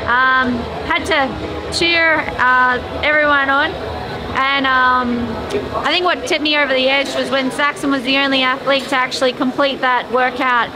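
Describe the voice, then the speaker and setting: A woman coach in her thirties with a raspy, strained voice, chatting casually with lots of fillers at a competition. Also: high-pitched, low-quality recording. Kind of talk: casual behind-the-scenes update. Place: large indoor competition arena. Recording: crowd noise in an arena.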